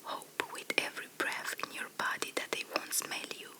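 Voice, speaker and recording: A woman whispering a second-person fairy tale slowly and softly, in the style of ASMR storytelling. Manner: soft, slow, hushed whisper.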